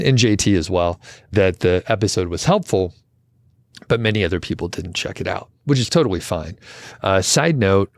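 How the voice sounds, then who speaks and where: Man, podcast host, low voice, a bit gravelly, rambling chatty tangents, dry close sound. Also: no room sound. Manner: rambling, self-deprecating, tangent-prone. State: slightly gravelly from overuse. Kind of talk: casual solo intro chat.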